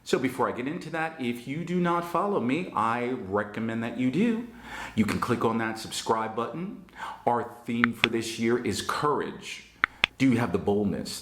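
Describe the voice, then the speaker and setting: A man with a Northern New Jersey accent, giving an online English lesson in a slow, emphatic and encouraging way. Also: mid-range voice, reverberant. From United States. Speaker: English teacher.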